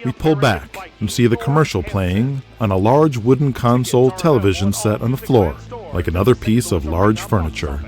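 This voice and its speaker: An American man delivers scripted, dramatic narration. His voice sits fairly low, with music clearly playing underneath. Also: lively intonation, normal speed, few pauses, a little mellow.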